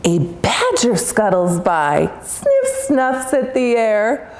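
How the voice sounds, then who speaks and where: A woman reads a rhyming picture book aloud, lingering on each line in a slow, sing-song way. Her voice is fairly high and swoops up and down as she tells the story. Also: wildly swooping pitch, a little mellow. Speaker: children's author reading aloud. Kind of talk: expressive read-aloud storytelling.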